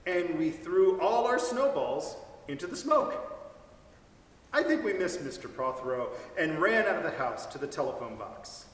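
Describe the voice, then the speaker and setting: Guy doing an expressive story reading, kinda high voice, big echoey room, a bit far off. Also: moderate pace. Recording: echoey hall, mic at a distance.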